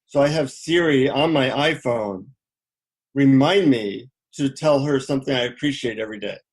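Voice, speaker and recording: A middle-aged man talks as a guest author in an interview. His pitch sits in an ordinary middle range, and he tells personal stories informally, pausing as he goes. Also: slightly rough. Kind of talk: conversational interview, personal anecdotes.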